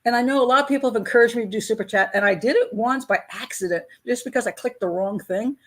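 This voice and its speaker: A woman's voice: on the high side, fast talker, fairly bright tone. A woman in her sixties hosting a casual live chat with her patrons, talking in a candid, chatty way. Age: 60s. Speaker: host of a crime-profiling channel.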